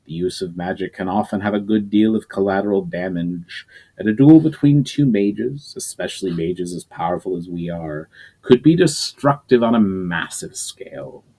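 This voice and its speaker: A calm, wise mage leader, patient and measured in every phrase, as a man reading aloud puts him on. The voice sits low and unhurried. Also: poor audio. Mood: explaining.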